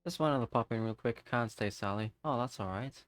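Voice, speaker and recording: A man chats casually through a live game stream, talking to himself and his viewers as he plays. His voice is smooth and resonant. It is recorded close and dry on a desk microphone. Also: medium pitch, brisk, no echo. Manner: chatty, talks to himself and to chat viewers. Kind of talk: casual live-stream chat while gaming.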